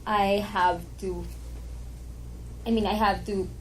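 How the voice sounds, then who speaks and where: A woman's voice: mid-range voice, fairly even intonation, takes it slowly, slight background noise, rough recording. A woman guest chatting candidly and easily in a casual talk show conversation. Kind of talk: casual chat.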